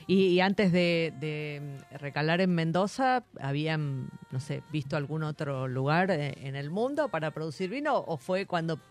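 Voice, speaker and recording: A man with an Argentine accent hosts a radio interview in a fairly high-pitched voice. His questions wander, padded with constant filler words. Soft music hums faintly in the background. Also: animated, unhurried, few pauses. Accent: Argentine Spanish. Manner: rambling questions full of "este" fillers.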